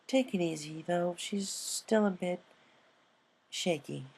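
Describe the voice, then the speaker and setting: A man plays a motherly storybook pegasus mare, lifted high in pitch. She is kindly and concerned, speaking with gentle care. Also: slow, vocal fry, breathy edge, heavily acted. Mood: gently cautious.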